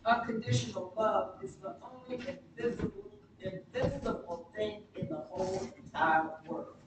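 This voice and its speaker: Woman preacher, slow emphatic sermon delivery with dramatic pauses, picked up off-mic over clear background noise. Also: medium pitch, huge pitch swings. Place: church sanctuary during a live service. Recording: very echoey, distant mic, noisy church livestream.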